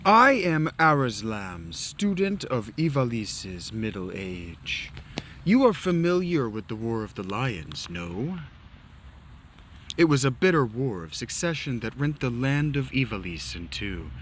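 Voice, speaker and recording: A man voicing a solemn male historian who narrates old wars in a slow, theatrical storytelling manner that drops to a hush. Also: medium pitch, very animated, slight background noise. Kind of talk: dramatic reading of game dialogue.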